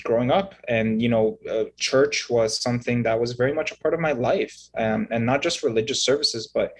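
A man in his thirties, from Egypt and raised in Canada, telling his story as an interview guest in a halting, explanatory way, often checking in with "right?". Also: mid-range voice, a little hiss or hum. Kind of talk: conversational storytelling.

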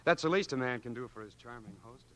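An actor playing a bashful, polite young American neighbor in a 1940s radio comedy, earnest and awkward, on a noisy old broadcast recording. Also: male voice, mid-range voice, fast, fairly bright tone, lightly acted. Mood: shy, awkward. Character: bashful, polite young boy next door.

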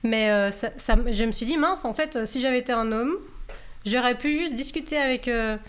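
A woman's voice: fairly high pitch, a little fast, clean tone. A young adult woman from Belgium, a guest speaking casually and hesitantly, with many fillers and restarts. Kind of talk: casual conversation.

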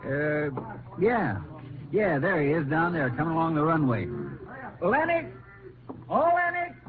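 A man's voice: on the high side, big pitch swings, measured pace, crowd noise. A man playing a plainspoken, folksy small-town Texas sheriff in a 1950s radio drama, talking in a conversational, helpful way. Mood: calling out. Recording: tinny, noisy early-1950s radio recording.

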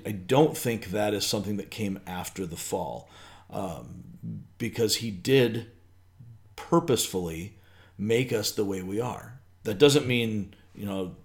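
A middle-aged man with a somewhat low voice talks his way through ideas on a podcast. He restarts often and thinks aloud in a loose, rambling way. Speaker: podcast co-host. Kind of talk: casual faith podcast chat.

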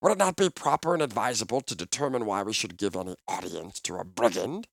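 A man's voice: lowish voice, expressive, quick pace, gravelly, no echo, clean studio sound. A man voicing a sly, mocking little goblin with oily, fawning, questioning speech in a fantasy audiobook. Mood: insolent. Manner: obsequious flowery speech, all in questions.

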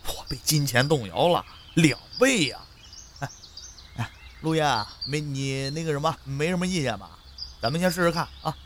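A man's voice: on the high side, expressive, moderate pace, fairly bright tone, slight background noise. A man playing a harried, foul-mouthed film director in an audio drama, blustering and scolding as he sputters at his cast. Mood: flustered, hedging. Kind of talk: modern radio drama acting.

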